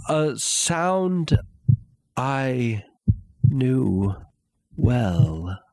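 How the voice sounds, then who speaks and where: A man playing a guilt-ridden, mad murderer in a dramatic gothic horror reading, speaking in a halting, theatrical way. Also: medium pitch, dry recording. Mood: creeping dread. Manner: halting, theatrical, hushed to crying out. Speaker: narrator.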